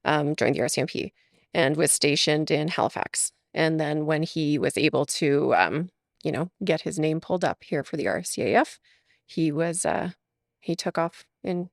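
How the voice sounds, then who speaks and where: A woman's voice: low-pitched, normal speed, vocal fry, dry recording, clean studio sound. A woman from Alberta, Canada, chatting as a guest in an interview, laughing often and talking with lots of fillers. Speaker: podcast guest. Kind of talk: casual interview chat.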